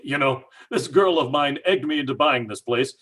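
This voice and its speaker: A man playing a blunt, jovial, wealthy father with a husky voice in an old-time radio style drama. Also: mid-range voice, moderate pace, a bit bright, lightly acted. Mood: brash, jovial. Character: brash, hearty rich American father.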